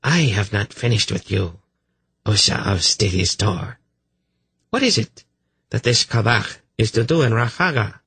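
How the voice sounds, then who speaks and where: A man plays a cruel high priestess in a fantasy audiobook. She is imperious and suspicious, with a husky voice pitched up and swinging widely as she questions with cold, sarcastic menace. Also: lively intonation, unhurried, a bit bright, no echo, full character voice. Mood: suspicious, threatening. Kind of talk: fantasy audiobook character acting.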